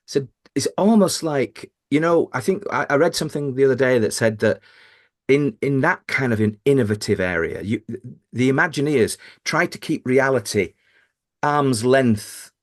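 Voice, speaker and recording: A man hosts a podcast in a casual, joking manner. He rambles and restarts his sentences, and his voice sits at a middle pitch. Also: moderate pace. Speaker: podcast co-host. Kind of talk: casual interview chat.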